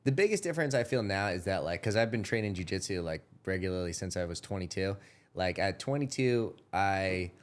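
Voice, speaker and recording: Man, 30s, podcast host, low voice, loose rambling talk, restarts, swears casually. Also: lively intonation, brisk. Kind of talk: casual chat.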